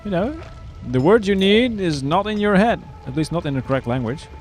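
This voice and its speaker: A man's voice: high voice, sing-song, fairly quick. A man streaming a video game live, chatting casually and laughing as he answers his chat. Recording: clear game music under the voice. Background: game music.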